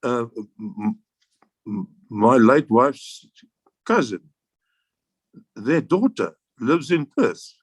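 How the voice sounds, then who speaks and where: A man's voice: fairly high pitch, no echo. An older South African man, a veteran guest, reminiscing in a rambling way with frequent restarts and chuckles. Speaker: interview guest, air force veteran. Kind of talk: relaxed reminiscing.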